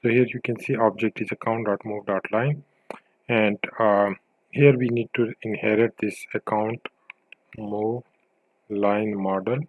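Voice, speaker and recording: A man presenting a screencast software tutorial as a developer, explaining step by step in halting, pieced-together phrases. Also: fairly low pitch, steady tone, dry recording, narrow, tinny audio.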